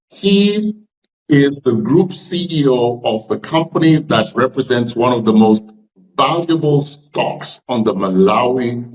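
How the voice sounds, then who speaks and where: Man, middle-aged, podcast host, mid-range pitch, articulate, emphatic, formal interview style. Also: rough recording. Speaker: podcast host, leadership scholar. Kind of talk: scripted podcast introduction and interviewing.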